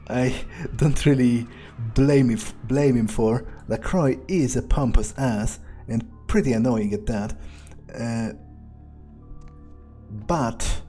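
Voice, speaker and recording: A man giving in-character game commentary, musing aloud in a halting, sardonic and profane way. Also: mid-range voice, dark tone. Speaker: gamer playing in character.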